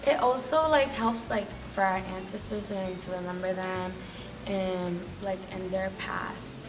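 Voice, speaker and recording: A woman's voice: mid-range voice, fairly even intonation, measured pace, noisy background. Teen girl heard from a distance. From El Salvador. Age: teens. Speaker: interviewed student. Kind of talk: casual interview answers.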